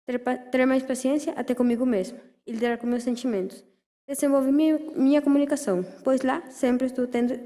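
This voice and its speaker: A teenage girl from Venezuela, a native Spanish speaker, gives a prepared testimonial. Her voice is high and soft-toned, and she reads carefully with small stumbles. The hall adds long reverb around her. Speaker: teenage apprentice receptionist. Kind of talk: prepared testimonial speech at a formal session. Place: large echoing meeting chamber. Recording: reverberant hall public address.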